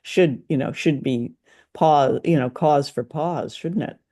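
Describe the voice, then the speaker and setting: A woman's voice: low-pitched, measured pace. Laughing chatty woman podcast co-host. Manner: laughs often, animated, chatty. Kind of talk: casual review chat.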